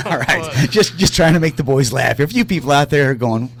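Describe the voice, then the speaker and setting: A man's voice: medium pitch, lively intonation, normal speed, a little hiss or hum. A man hosting a podcast who jokes and teases his guests, laughing a lot in casual chat. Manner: wisecracking, laughs a lot, teasing. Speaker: podcast host.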